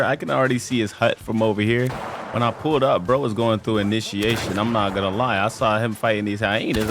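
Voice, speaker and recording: A man narrating a casual game tutorial, laid-back and slangy, talking straight to his viewers. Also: medium pitch. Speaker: gaming video creator.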